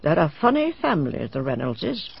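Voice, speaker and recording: A woman's voice: low voice, wildly swooping pitch, moderate pace, creaky, husky, exaggerated voice. An actress playing a sly old village woman who tells fortunes and dresses as a witch, talking in a cryptic, gossiping, rustic way in a radio drama. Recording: dull dry radio drama recording.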